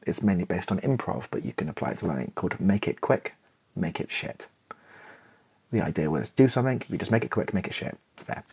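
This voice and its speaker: A man's voice: sits low, sing-song, a little fast, gravelly, a little mellow, husky. A British man talking casually to the listener, thinking aloud and hesitating as he rambles. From Britain. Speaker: YouTuber and theatre writer. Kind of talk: rambling, casual talk to the listener in an ASMR video.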